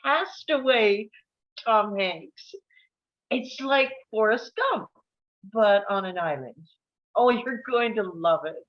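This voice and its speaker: A woman's voice: medium pitch, animated, slow pace, pauses often, highs cut off. A woman playing a cheery, chatty, comforting older mother at a comedy table read.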